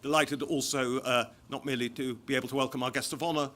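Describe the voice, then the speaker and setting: A man hosting a formal reception, courteous and halting with uhs as he introduces speakers. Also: mid-range voice, fairly even intonation, pushed and bright. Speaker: event host.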